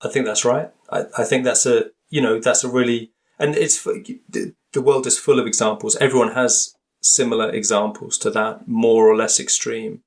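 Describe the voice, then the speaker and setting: A man's voice: mid-range voice, steady tone, moderate pace, hardly any pauses. A British man speaking as a podcast guest, thoughtful and hesitant, often rephrasing as he explains. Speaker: interview guest, linguist and author. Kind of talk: conversational podcast interview.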